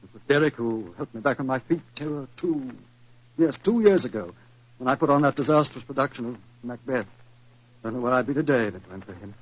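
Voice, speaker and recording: An actor in a 1940s radio drama playing an anxious, self-doubting stage actor, earnest and theatrical in manner. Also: male voice, mid-range voice, lively intonation, fairly quick, lightly acted. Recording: dull, muffled 1940s radio recording.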